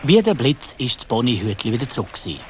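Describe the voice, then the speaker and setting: A man's voice: medium pitch, very animated, fast talker, a little mellow, slight background noise. A man with a Swiss German accent narrating a dramatised children's story, addressing young listeners directly.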